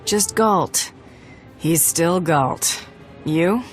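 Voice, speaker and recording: A woman's voice: fairly low pitch, very slow. A woman playing a tough, confident bounty hunter in a video game, talking bluntly and dryly. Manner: blunt, dry, assured. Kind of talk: video game cutscene acting. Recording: voice over background music.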